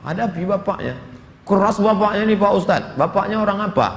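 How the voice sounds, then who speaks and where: A man's voice: high-pitched, animated, fairly quick, vocal fry. A man, an Islamic preacher answering audience questions in a humorous, conversational sermon with acted-out asides. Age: 40s. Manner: humorous, conversational, with dramatic asides and Arabic quotations. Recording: echoey hall with long reverb, background noise.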